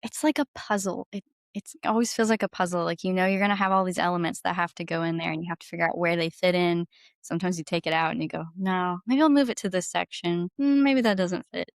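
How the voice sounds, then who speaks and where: Low-pitched woman, casual and enthusiastic. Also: steady tone, fast, creaky, dry recording, studio quality. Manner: enthusiastic, informal, frequent "like". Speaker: podcast guest, singer-songwriter. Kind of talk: casual conversational interview.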